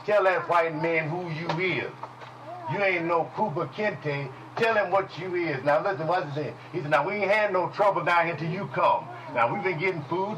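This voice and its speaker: A man teaching a congregation in a preaching style, folksy and call-and-response, with speech, dropping at times to low murmurs. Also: noisy background. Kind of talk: preaching Bible lesson. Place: congregation hall.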